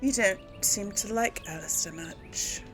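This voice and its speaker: A woman's voice: medium pitch, lively intonation, measured pace, a bit bright, faint music behind. A woman hosting a casual let's play, musing and thinking aloud as she goes. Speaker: gaming video host. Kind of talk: casual let's play commentary, some role-played replies.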